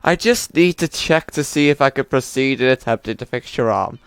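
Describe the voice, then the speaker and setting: A woman voicing a calm, caring robot surgeon in an amateur comic dub, speaking in a measured, reassuring way. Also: extremely low pitch, smooth, no room sound, pitched below the performer's own voice.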